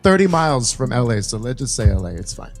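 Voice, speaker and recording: A man's voice: medium pitch, very animated, fast talker, faint music behind. A man from Southern California chatting casually as a podcast guest. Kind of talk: casual chat.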